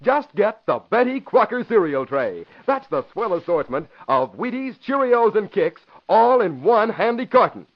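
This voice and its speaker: A man's voice: sits high, very animated, moderate pace, few pauses. A man announcing and narrating in theatrical, emphatic 1940s radio style. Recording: muffled old radio broadcast.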